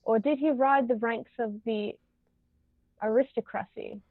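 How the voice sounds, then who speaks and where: Imperious society matriarch played by a woman, high-pitched and pompous, stage comedy read-through, roomy muffled sound. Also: fairly even intonation, unhurried. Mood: snobbish, disapproving. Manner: pompous, formal, pronouncing judgments. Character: imperious, haughty older aristocratic English lady, snobbish interrogator. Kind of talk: amateur table reading of a stage comedy. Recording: roomy, muffled low-quality recording.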